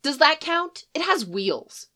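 A woman's voice: high voice, big pitch swings, slow, pushed and bright, voice pushed up, exaggerated voice. A woman voicing a mouthy, combative eleven-year-old boy who talks back sharply in a storybook reading. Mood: flat, sardonic. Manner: combative, sassy back talk.

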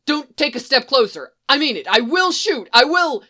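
A woman's voice: high-pitched, big pitch swings, moderate pace, pushed and bright, voice pushed up, heavily acted. A woman voicing a frightened male scientist making shaky threats. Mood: panicked. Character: scared male scientist with a blaster.

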